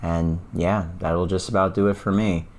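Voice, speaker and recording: A man with a hoarse, worn-out voice hosts his own esports news vlog. He sits low in pitch and talks to camera in a loose, casual way, eager and keen on doubling up words for emphasis. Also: fairly even intonation, moderate pace. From Atlanta, US. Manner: enthusiastic, repeats words for emphasis.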